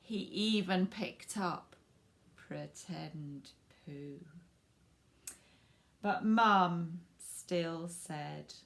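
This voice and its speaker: Expressive woman reading a children's storybook, creaky voice. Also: on the low side, drawn-out words. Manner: addresses young listeners directly. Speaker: author reading own picture book. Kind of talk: children's storybook read-aloud with asides to viewers.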